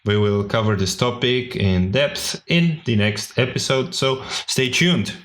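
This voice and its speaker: A man's voice: mid-range voice, a little slow, a bit bright. Podcast host guy reading his script, mid voice, talks right to the listeners. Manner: reads smoothly from a script, giving advice directly to listeners. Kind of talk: scripted narration.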